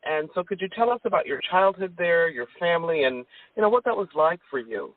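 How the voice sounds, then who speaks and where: Female talk radio host, low-pitched and earnest, deliberate phrasing, dry narrow-band sound. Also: expressive, no room sound. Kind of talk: hosting an inspirational radio interview.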